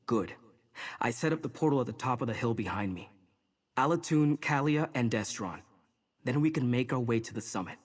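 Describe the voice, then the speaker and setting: A man playing a serious, urgent mentor in a fantasy video game, giving directions in a businesslike way with a husky voice. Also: mid-range voice.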